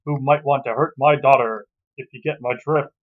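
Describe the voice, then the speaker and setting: A story reader voicing a stern mafia father who threatens a suitor in a measured, intimidating way, on a lo-fi recording. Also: mid-range voice, fairly even intonation, pitched below the performer's own voice, close to an everyday voice. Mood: quietly menacing. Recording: dull, muffled lo-fi sound.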